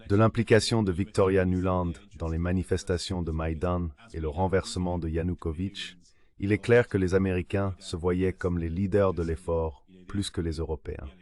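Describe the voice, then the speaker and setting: A man's voice reading a translated interview answer as political analysis, in a measured way. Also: deep voice, fairly even intonation, darkish tone. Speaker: AI voice-over translating an interview guest.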